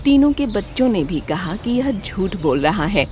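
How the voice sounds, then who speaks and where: A woman narrating a scripted children's story as an expressive storyteller. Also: mid-range voice, unhurried, slight background noise.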